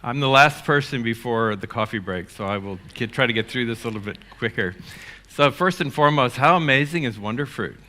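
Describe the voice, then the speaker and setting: An older man from the United States, giving a conference talk in an energetic, rambling way with many fillers and restarts. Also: medium pitch, a little fast, noisy background. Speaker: conference speaker. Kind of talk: keynote talk. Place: conference stage.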